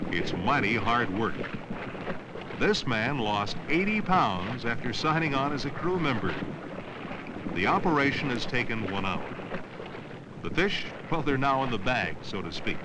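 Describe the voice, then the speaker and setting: A man narrates an educational film from around 1970 in a measured, declarative style. His voice sits at a medium pitch and moves with lively inflection. The old soundtrack is clearly noisy, with boat and outdoor ambience behind him.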